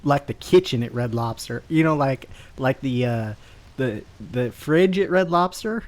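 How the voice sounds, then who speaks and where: A man's voice: medium pitch, animated, unhurried, close to an everyday voice. A man voicing a rambling, awkward character named Frank in an improvised roleplay, full of fillers and restarts. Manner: rambling, hesitant, lots of fillers. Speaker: roleplay streamer.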